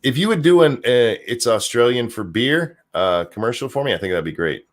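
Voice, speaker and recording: A man hosting a podcast, chatting casually and reading news articles aloud with a jokey, animated delivery. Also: mid-range voice, brisk. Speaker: podcast host.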